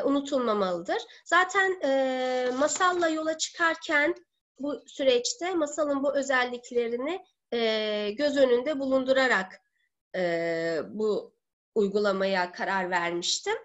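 A woman in her thirties giving an online seminar as a teacher, speaking deliberately with halting, drawn-out words. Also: high voice, a bit bright. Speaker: teacher presenting a webinar. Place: living room at home. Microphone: laptop or webcam mic on video call. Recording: dull lo-fi webcam call audio.

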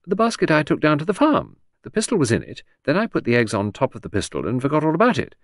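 A man's voice: a little high, huge pitch swings, fast talker, dry recording, clean studio sound, pitched above the performer's own voice. A man voicing a vague, charming aristocratic older lady who talks in a breezy, scatterbrained gush.

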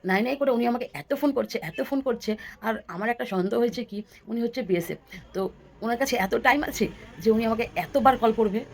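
A woman's voice: on the high side, fairly quick. A woman tells a story casually to viewers as a vlogger, rambling and repeating phrases for emphasis.

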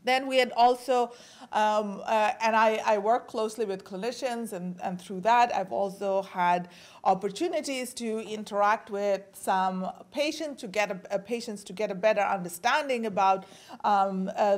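A woman in her forties from Bangladesh giving an academic talk at a podium microphone, with frequent fillers and restarts. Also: medium pitch. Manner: casual, many fillers and restarts. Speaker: guest lecturer. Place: lecture hall at a podium.

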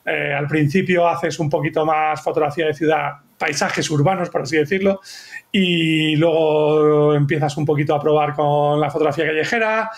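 Guest, man in his 40s, Madrid accent, rambling and warm-humored, webcam audio. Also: on the high side, fairly even intonation, normal speed. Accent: Madrid Spanish. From Madrid, Spain. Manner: chatty, self-correcting, laughs often. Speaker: guest photographer. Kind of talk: casual conversation. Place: home room. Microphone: video call, webcam.

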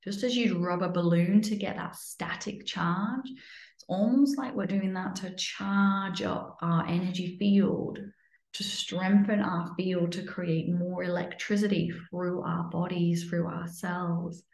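A woman leading a guided breathing meditation in a soothing, instructional way, sometimes dropping to a breathy near-whisper. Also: on the low side, fairly even intonation, takes it slowly, a little mellow. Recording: muffled, lo-fi, roomy echo.

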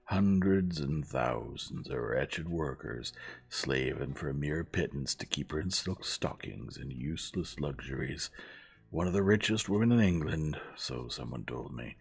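Audiobook role: angry young rebel, acted by a man, very deep, gravelly, blunt and contemptuous. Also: animated, unhurried, full character voice. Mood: scornful, belligerent. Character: belligerent young male radical, scornful of the idle rich. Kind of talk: audiobook character acting.

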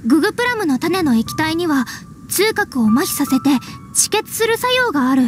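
A spirited young knight scout in an anime game. Her voice is very high and animated, frank and earnest, over faint music. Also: normal speed.

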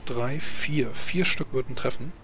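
A man's voice: medium pitch, unhurried, a bit bright, low-quality recording. A man running a fantasy tabletop game as game master, improvising as he narrates. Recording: music playing under the voice. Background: music.

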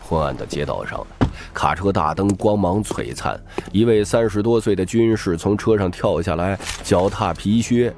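A man's voice: low voice, lively intonation, moderate pace, darkish tone. A man narrating a novel as a dramatic scripted audiobook.

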